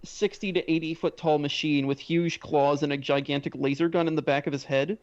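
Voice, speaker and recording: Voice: medium pitch, fairly even intonation, a little fast, a little mellow. A panelist chatting casually on a podcast, eager and stammering a little. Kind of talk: casual chat. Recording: slightly muffled mic.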